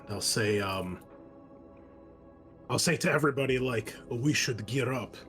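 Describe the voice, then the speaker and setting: A man with an average-pitched voice talks as a player in a tabletop game. He is casual and wry, at ease explaining things to the group. Soft music sits faintly behind him. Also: measured pace. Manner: wry, joking, explains rules readily.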